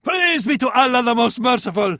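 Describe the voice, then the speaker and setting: An actor with an Arabic accent playing a fervent, scornful medieval advisor to a sultan in a video game, in a florid, declamatory style. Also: male voice, very high voice, lively intonation, brisk, pushed and bright, dry recording, studio quality, exaggerated voice. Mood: triumphant, devout. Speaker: battle advisor in a strategy game. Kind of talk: theatrical video game voice acting.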